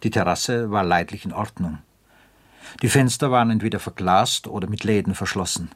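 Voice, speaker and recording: A man narrating a radio drama in a measured, literary storytelling style. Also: fairly low pitch, husky.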